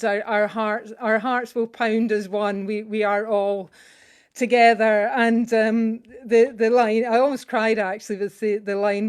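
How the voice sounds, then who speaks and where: A Scottish woman talks over a video call before she sings. Her voice is smooth and clear, and her chatty, halting speech keeps stopping and restarting. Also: fairly high pitch. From Scotland. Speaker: singer sharing a song. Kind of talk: casual introduction at an online song swap.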